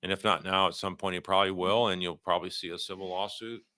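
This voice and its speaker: A man hosting a livestream, giving unscripted legal commentary and answering viewer questions in a halting, conversational way, often rephrasing himself. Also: low-pitched, steady tone, sharp tone, no room sound.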